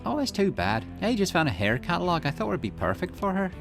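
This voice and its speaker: Man acting a chatty, caring visual novel friend, affectionate teasing, lilting and very animated, music underneath. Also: sits high, fast talker, vocal fry, voice pushed up, full character voice. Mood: caring, playful. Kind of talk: visual novel character reading. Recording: music behind the voice.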